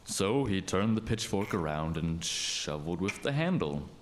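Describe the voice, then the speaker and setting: A man's voice: low-pitched, big pitch swings, normal speed, a little hiss or hum. A man playing a storyteller, narrating a scripted folktale. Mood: storytelling.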